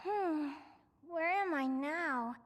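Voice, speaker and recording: A woman voicing a dreamy, curious young female explorer with a gentle nature, in a video game. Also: extremely high pitch, very slow, heavily acted. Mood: puzzled, weary. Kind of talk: video game character acting.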